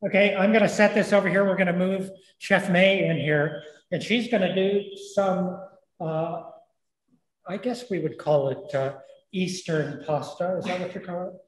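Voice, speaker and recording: A man's voice: high voice, fairly even intonation, normal speed. Older male chef, easygoing demo delivery, long kitchen reverb. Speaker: chef and cooking teacher. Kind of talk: cooking demonstration. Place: large commercial kitchen. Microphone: camera at a distance.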